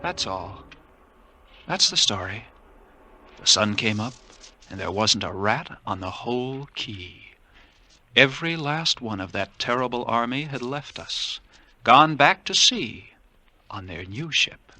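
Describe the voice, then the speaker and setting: An actor playing a haunted lighthouse keeper telling his tale in a dramatic, halting 1940s radio drama delivery. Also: mid-range voice, lively intonation, a little hiss or hum, full character voice. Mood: grim, weary. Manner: dramatic halting delivery, swelling from hushed to intense. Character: haunted male lighthouse keeper recounting a rat siege. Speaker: actor narrating as the story's main character. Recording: old 1940s radio broadcast with music.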